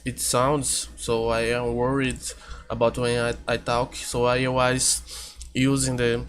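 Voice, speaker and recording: A man hosts a casual vtuber stream at home. He speaks slowly at a middle pitch, often stopping to restart or correct his words as he thinks aloud. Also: a bit bright. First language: Portuguese. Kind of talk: casual reaction stream chat. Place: home room with air conditioner hum.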